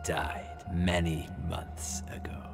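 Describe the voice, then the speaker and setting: A dying, sardonic prisoner in a war game. The voice is deep and breathy, savoring each phrase smugly, with a strong score underneath. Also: male voice, little pitch movement, in a whisper, exaggerated voice. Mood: bitter amusement. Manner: smug, sardonic, drawn-out. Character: smug, dying male Nazi collaborator held captive. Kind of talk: video game cutscene acting. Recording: dramatic music behind.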